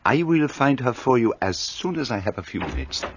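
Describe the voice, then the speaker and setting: A man's voice: mid-range voice, very animated, normal speed, dull audio, a little hiss or hum, natural voice. An actor playing a fussy, precise older male detective, formal and self-assured in a radio play. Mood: courteous.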